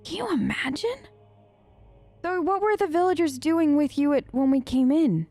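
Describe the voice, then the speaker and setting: A woman streamer talks in character, her voice slightly high and chatty, full of feeling and small hesitations. The sound is dry and close to the mic, with faint game music underneath. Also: normal speed, a little mellow, no echo. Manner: chatty, emotive, hesitant with fillers. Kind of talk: role-play let's play chat.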